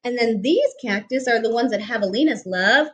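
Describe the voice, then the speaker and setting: A woman's voice: on the high side, expressive, normal speed. A woman in her thirties reading a picture book aloud to a young child in a home kitchen, explaining things patiently in simple words, recorded on a webcam at the table. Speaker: speech therapist reading to a child. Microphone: laptop or webcam at table distance.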